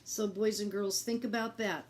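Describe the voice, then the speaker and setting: A woman reading a picture book aloud to children in an expressive, deliberate teacherly way, speaking directly to her young listeners. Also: medium pitch, a little hiss or hum.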